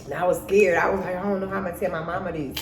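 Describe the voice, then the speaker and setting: A woman's voice: lowish voice, steady tone, very fast. Middle-aged woman in a roomy, echoey space. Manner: candid, acts out remembered dialogue. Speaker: mother co-hosting a storytime vlog with her daughter. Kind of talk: casual personal storytelling. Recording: roomy echo, faint background noise.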